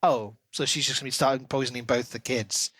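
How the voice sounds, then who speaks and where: Male book podcast co-host, medium pitch, conversational, storyteller's touch, very dry recording. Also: fairly even intonation, a little fast, fairly bright tone, no room sound. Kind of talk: casual book discussion.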